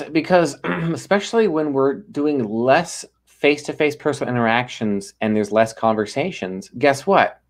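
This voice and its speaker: A man speaking as a guest in a podcast interview, talking with energy and emphasis and acting out little quoted exchanges. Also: fairly high pitch. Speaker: ghostwriter guest.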